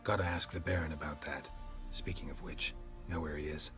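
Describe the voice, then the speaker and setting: A stoic monster-hunting mercenary with an extremely low, gravelly voice. He is terse, deadpan and quietly menacing, in video game dialogue with music underneath. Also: a little fast. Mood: terse, businesslike. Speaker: voice actor.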